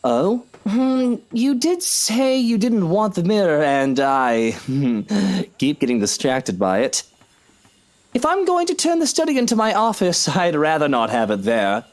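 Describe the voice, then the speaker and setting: An actor playing a breezy, flamboyant, playfully bossy husband in a visual novel, theatrical and musing. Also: male voice, high voice, huge pitch swings, unhurried, exaggerated voice.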